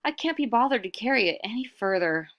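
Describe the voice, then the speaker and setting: A man's voice: very high-pitched, expressive, fairly quick, fairly bright tone, voice pushed up, heavily acted. A woman voicing a vain, boastful young trickster man from a folk tale, in a self-important, theatrical way. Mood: pompous.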